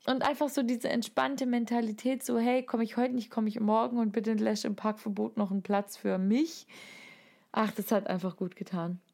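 A woman's voice: fairly high pitch, steady tone, moderate pace, gravelly, darkish tone. A woman telling anecdotes in a casual, enthusiastic, playful way, using slangy words as a podcast co-host.

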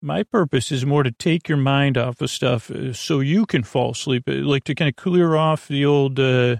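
A man with a creaky voice hosting a sleep podcast, rambling in a lulling, soothing way with halting tangents and word fragments. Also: medium pitch, dry recording, clean studio sound. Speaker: podcast host, bedtime storyteller. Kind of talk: meandering bedtime monologue for a sleep podcast.

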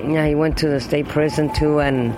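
A man's voice: fairly high pitch, little pitch movement, normal speed. Older man talking over street traffic. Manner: plain, rambling, restarts dates. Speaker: interviewee. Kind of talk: street interview. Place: city street outdoors. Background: traffic noise.

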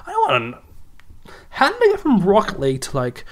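A man co-hosting a podcast in casual banter, sometimes reading text aloud, with a halting, amused and exasperated manner. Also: high-pitched, huge pitch swings. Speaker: podcast co-host.

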